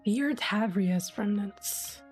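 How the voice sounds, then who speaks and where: A woman's voice: medium pitch, slow pace. Emotionally invested woman game streamer. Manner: emotionally invested, sweary, thinking aloud. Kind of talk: casual reaction commentary. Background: faint game music.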